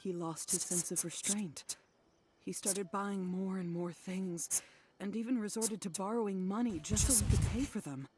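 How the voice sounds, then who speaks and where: A woman's voice: medium pitch, normal speed, slight background noise, close to an everyday voice. A woman voicing a worried middle-aged wife in a game, speaking wearily and apologetically. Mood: weary. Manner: weary, apologetic, soft-spoken. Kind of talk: game voice acting.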